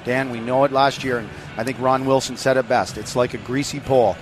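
A man giving radio sports commentary in an energetic, conversational way. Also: mid-range voice, brisk, background noise. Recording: music bed under voice.

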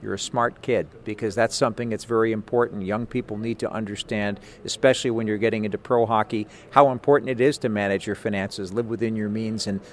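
A man's voice: lowish voice, steady tone, fast, few pauses, slight background noise. A man hosting a conversational sports interview, talking in a wordy, encouraging way.

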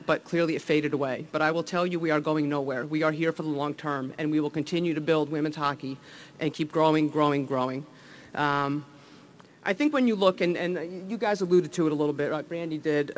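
A man with a New Jersey accent, a league executive giving an enthusiastic public speech at a welcome event, with many fillers and restarts. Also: on the high side.

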